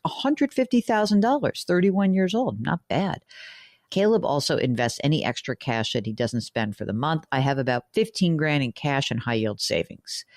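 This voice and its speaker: A woman hosting a radio money show. She reads listener emails aloud and answers them frankly and chattily, working through the numbers out loud and throwing in joking asides. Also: deep voice, no room sound, clean studio sound. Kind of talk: conversational radio call-in advice.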